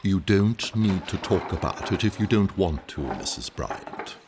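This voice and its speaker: An actor playing a gentle, protective gentleman in an audio drama. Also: deep voice, very animated, a little slow, noisy background. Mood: gentle, grave.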